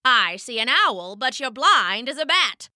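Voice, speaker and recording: A cocky little male grasshopper showman from a bedtime storybook, crooning bragging rhymes in a lively, singsong voice, as a woman narrator puts him on. He sounds bright and close to the mic, with a dry, unechoing sound. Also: sits high, unhurried, no echo, exaggerated voice. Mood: smug. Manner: singsong, rhyming, taunting. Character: boastful male grasshopper singer, smug showman.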